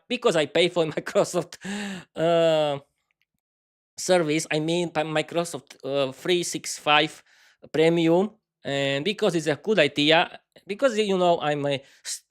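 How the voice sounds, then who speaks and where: A man with a Slovak accent, a native Slovak speaker, hosting a casual live-stream podcast in a halting way with many restarts. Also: on the high side. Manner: halting, many restarts and fillers, talks to himself by name. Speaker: podcast host.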